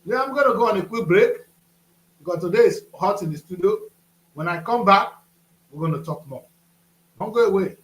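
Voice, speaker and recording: A man's voice: high-pitched, expressive, moderate pace, pauses often, roomy sound, poor audio. A man, hosting a studio talk show and lecturing with rhetorical questions. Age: 50s. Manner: preachy, rhetorical questions, emphatic.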